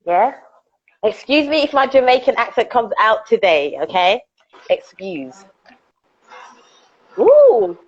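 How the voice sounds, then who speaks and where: A woman hosting a casual live-stream interview, chatty and excitable, greeting viewers by name. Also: mid-range voice. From United Kingdom. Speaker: host. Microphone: phone on a live video call. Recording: dull lo-fi live-stream audio.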